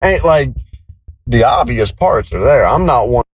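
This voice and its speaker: A man's voice: medium pitch, expressive, a little slow, husky, no room sound. A man, recounting his exit from a job in a defiant, rambling monologue. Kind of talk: candid monologue in a played clip.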